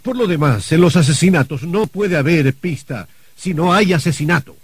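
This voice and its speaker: A man's voice: fairly high pitch, moderate pace, breathy edge. A man acting an anxious, sardonic doomed novelist in a radio play, with a hesitant, dramatic delivery.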